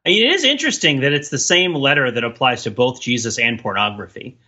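A man's voice: medium pitch, lively intonation, fast, sharp tone, highs cut off. A man co-hosting a casual podcast discussion, explaining things in a rambling, digressive way and often checking in with "right?". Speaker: podcast co-host.

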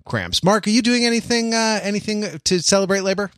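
A man's voice: sits high, fairly quick. A man moderating a casual podcast chat in a wry, mock-serious way.

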